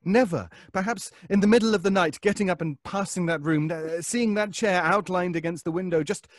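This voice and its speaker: An actor as a nervous, obsessive radio-suspense schemer, higher-pitched. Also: male voice, no room sound, clean studio sound, natural voice. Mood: desperate, pleading. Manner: stammering, halting, pleading. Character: nervous, obsessive, scheming middle-class man. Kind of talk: old-time radio suspense acting.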